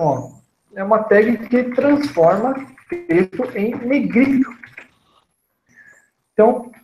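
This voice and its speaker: Guy in his 30s explaining code, easygoing, kinda high voice, roomy echo. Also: slight background noise. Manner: relaxed, chatty, frequent "né?" and "tá?" check-ins. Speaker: programming instructor. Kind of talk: screen-recorded coding tutorial.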